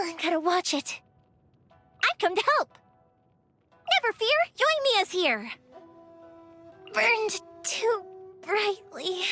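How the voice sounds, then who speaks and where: A woman playing a cheerful, energetic young fireworks maker in a video game, bubbly, playful and expressive in her delivery. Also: very high-pitched, heavily acted. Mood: pained, urgent. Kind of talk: animated video game character voice lines. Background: music.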